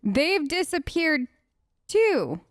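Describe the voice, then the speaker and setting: A woman with a high voice gives casual let's play commentary. She talks in a chatty, playfully skeptical way, improvising dialogue as her game character. The sound is close and dry. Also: sing-song, very slow, no room sound. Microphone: close, dry microphone.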